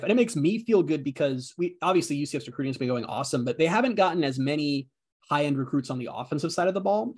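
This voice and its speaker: A man in his twenties co-hosting a sports podcast, chatting casually in an animated, self-deprecating way. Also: medium pitch, slightly rough. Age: 20s. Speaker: podcast co-host.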